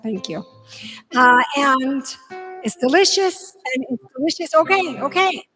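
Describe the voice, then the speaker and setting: A woman's voice: high voice, animated, unhurried, bright, forceful tone, soft background music. A woman leading a holiday service for a group, chatty and upbeat, with many fillers and restarts. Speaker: service leader.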